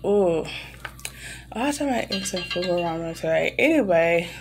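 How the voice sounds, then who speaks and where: Irritated lady in her 30s rambling on a home vlog, kinda low voice, blunt, really noisy recording. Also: expressive, unhurried. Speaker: vlogger. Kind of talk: casual rambling vlog chat. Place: room in an apartment.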